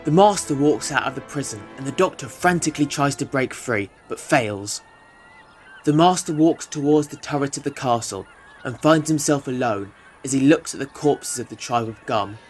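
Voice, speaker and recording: A man's voice: mid-range voice, moderate pace, faint music behind, close to an everyday voice. A man reading scripted scene narration for a fan audio drama.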